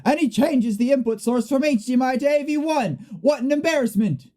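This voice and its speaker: An excitable play-by-play sports announcer in a radio comedy, acted by a young woman. The delivery is showy and dramatic, with big emphasis on each call, yet the tone stays mellow and rounded. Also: high voice, fairly quick, lightly acted. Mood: excited, amazed. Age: young adult. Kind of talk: parody live sports commentary in a radio play.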